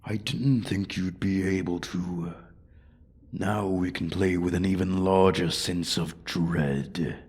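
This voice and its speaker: Very deep, husky menacing dragon voiced by a man. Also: fairly even intonation, darkish tone, heavily acted. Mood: playful taunting. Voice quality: low, husky, breathy. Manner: slow, taunting, condescending menace. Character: menacing male dragon toying with human prey. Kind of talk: ASMR fantasy audio roleplay.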